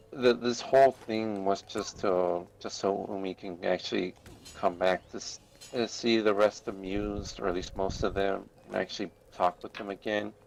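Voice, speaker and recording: Male podcast guest, fairly deep and creaky, halting informal talk. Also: poor audio. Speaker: podcast participant giving opinions. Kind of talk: casual chat.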